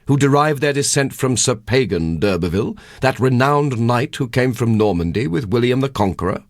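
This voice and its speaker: An actor voicing an elderly, learned country parson in a literary audiobook, precise, measured and dryly condescending. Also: male voice, mid-range voice, expressive, no echo, studio quality, voice pushed up, lightly acted. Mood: measured, informative.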